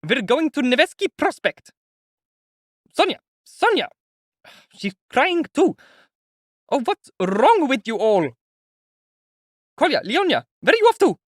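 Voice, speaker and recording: A shrill, frantic dying mother. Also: sing-song, slow pace, pauses often, dry recording, studio quality, heavily acted. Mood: wailing. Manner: wailing, shrieking.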